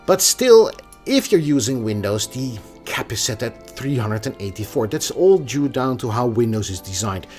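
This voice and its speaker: A man's voice: medium pitch, very animated, normal speed, darkish tone, faint music behind. A man giving a casual, opinionated tech review in a conversational manner. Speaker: product reviewer.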